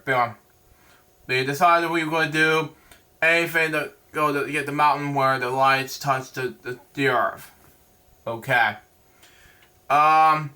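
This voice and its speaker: A man hosting a storybook read-aloud, halting and stumbling over words, drifting into rambling casual asides. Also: medium pitch, pushed and bright.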